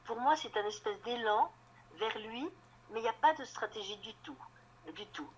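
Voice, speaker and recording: A woman novelist being interviewed on radio, talking in a halting way and often repeating words while she looks for them. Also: on the high side, a little hiss or hum, rough recording. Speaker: novelist guest.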